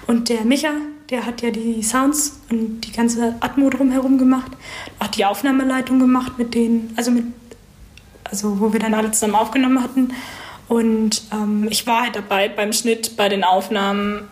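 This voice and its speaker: Young woman from berlin chatting in an interview, kinda high voice, explains stuff informally, roomy echo. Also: fairly even intonation, normal speed, a little mellow. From Berlin, Germany. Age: 20s. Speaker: interviewee, creative writing student and audio drama writer. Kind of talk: casual interview. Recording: roomy, echoing interview recording.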